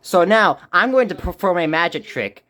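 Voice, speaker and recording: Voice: medium pitch, animated, normal speed, a bit bright. A video host doing casual unboxing narration amid group chat, announcing things in a playful, showman-like way.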